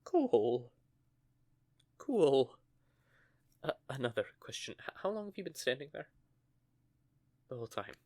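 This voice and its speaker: A man's voice: medium pitch, very animated, unhurried, pauses often, darkish tone, no room sound. A man playing a stammering flustered young witch. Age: young adult. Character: nervous stuttering young male witch student. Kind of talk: audio roleplay acting.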